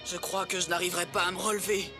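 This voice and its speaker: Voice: medium pitch, flat delivery, fast talker, close to an everyday voice. An actor playing a determined, stubborn boy footballer in a dubbed cartoon, speaking with dramatic intensity. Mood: exhausted. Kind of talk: dubbed anime acting. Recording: loud music over the voice.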